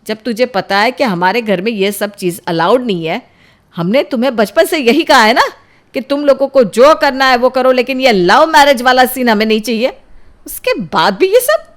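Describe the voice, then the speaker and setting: A woman voicing a strict middle-aged mother in an audio drama, scolding and threatening in a forceful way. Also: mid-range voice, normal speed, hardly any pauses, lightly acted. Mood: angry scolding.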